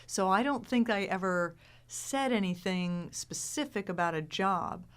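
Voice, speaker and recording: A woman cast member discussing a show casually, with deliberate, emphatic phrasing. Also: medium pitch, animated, clear, resonant tone.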